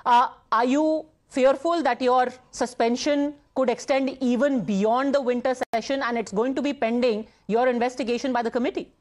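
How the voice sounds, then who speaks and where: A woman news anchor with a high voice questions her guest on live TV. She presses firmly, rephrasing questions until she gets an answer, in a formal, articulate delivery. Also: steady tone, normal speed, few pauses, darkish tone. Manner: persistent, pointed questioning.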